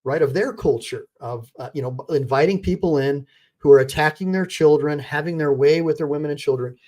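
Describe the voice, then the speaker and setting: A man's voice: fairly high pitch, fairly quick, darkish tone. American male attorney ranting to live chat. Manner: talks straight to viewers, reads chat aloud; repetitive, emphatic, with fillers and restarts. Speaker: attorney and livestream host. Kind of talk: casual livestream commentary.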